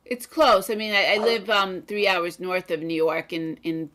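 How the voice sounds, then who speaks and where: Relaxed woman from Massachusetts, lowish voice. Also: normal speed, slight background noise. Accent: New England American, mild. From Massachusetts, USA. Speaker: high school college counselor. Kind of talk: video call conversation. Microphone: video call webcam.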